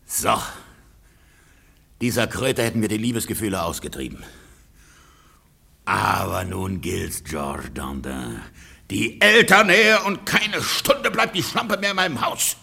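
A man's voice: lowish voice, unhurried, creaky, pauses often, sharp tone, breathy edge, exaggerated voice. A man playing a jealous rich farmer husband in a radio play, blustering, exasperated and given to muttered threats. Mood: gloating, grim. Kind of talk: German radio play acting.

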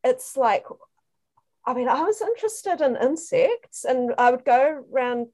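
A woman's voice: high voice, animated, measured pace, a little mellow. A woman from New Zealand with a New Zealand accent, telling her story as an interview guest over a video call. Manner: enthusiastic storyteller. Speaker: guest researcher.

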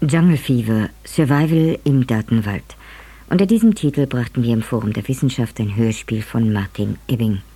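A man's voice: medium pitch, normal speed, mellow, slightly rough. A man making formal scripted announcements as a radio announcer.

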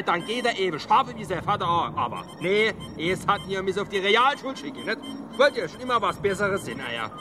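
An actor playing a blunt, grumbling male astronaut with an in a radio play. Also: sits high. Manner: blunt, grumbling, quick-tempered. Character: gruff dialect-speaking male astronaut, blunt father.

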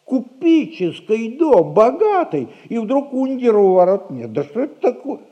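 An actor playing a wily, self-important household servant in a theatrical audio comedy, protesting in a folksy, pompous, wheedling way. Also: male voice, very high voice, sing-song, moderate pace, warm tone. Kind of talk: theatrical audio play acting. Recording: dull vintage theatre recording.

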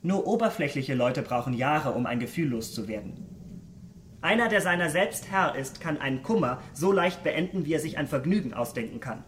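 An actor playing a vain, callous young aesthete in a German radio drama, eloquent and theatrical. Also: male voice, on the high side, lively intonation, moderate pace, a bit bright, slight background noise. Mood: defiant. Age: young adult.

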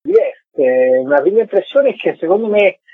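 A man being interviewed on the radio, explaining things conversationally and discursively, with a husky voice. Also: high-pitched, very animated, moderate pace. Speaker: interviewed film director. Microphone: telephone. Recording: tinny, narrow phone line with echo.

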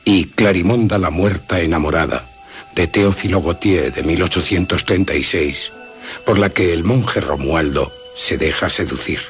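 Male narrator for radio, low register, dramatic scripted read with soft music underneath. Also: moderate pace.

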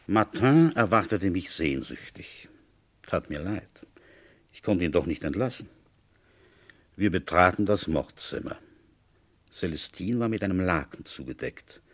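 An actor playing a methodical, dryly patient village police commissioner who thinks aloud conversationally in a German radio drama. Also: male voice, low voice, husky, full character voice. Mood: matter-of-fact recounting. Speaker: narrator and lead detective in a radio play. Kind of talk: German radio drama, intimate first-person narration.

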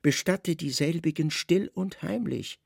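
A middle-aged Roman governor in a radio drama, stern and slyly plotting. His voice is creaky and rough, closely and dryly recorded. He speaks with measured authority and insinuation. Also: fairly high pitch, a little mellow, dry recording, studio quality, full character voice. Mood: commanding. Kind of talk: radio play dialogue.

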